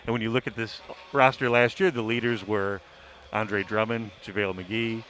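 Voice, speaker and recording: A man's voice: lowish voice, steady tone, moderate pace, fairly bright tone, rough recording. A man talking analytically as a beat writer on a sports panel, listing examples to make his points. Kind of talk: pre-game sports panel discussion. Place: sports arena. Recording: faint venue noise.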